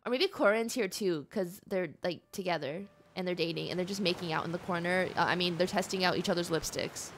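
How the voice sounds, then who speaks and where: A woman's voice: lowish voice, brisk, few pauses, a little hiss or hum. A young woman doing casual live gaming commentary, playful, chatty and emotive, often repeating her words. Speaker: game streamer. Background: game music.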